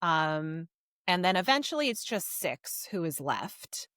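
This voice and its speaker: A woman's voice: on the low side, very animated, measured pace, fairly bright tone, no echo, clean studio sound. A woman co-hosting a book podcast, retelling a story in a chatty, informal, emphatic way. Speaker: podcast co-host. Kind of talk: casual book discussion recapping a story.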